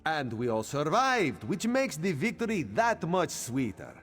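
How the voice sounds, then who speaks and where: A smug cat-folk mercenary from a fantasy video game, speaking slowly with huge swoops in pitch. He savors each phrase like a contented predator, in a long hall reverb over faint music. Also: big pitch swings, fairly bright tone, husky, full character voice. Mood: smug satisfaction. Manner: third-person speech, slow and savoring. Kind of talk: video game character dialogue.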